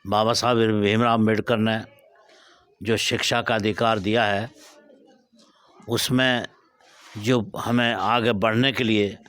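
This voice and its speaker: A man's voice: fairly low pitch, flat delivery, very fast, pauses often, a bit bright, clean tone. A man giving a formal, exhorting motivational speech to school pupils. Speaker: speaker addressing school pupils.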